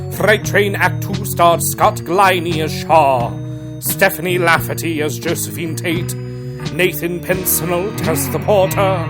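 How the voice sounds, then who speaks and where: A man announcing scripted closing credits for a horror radio drama. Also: fairly high pitch. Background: loud plucked guitar music.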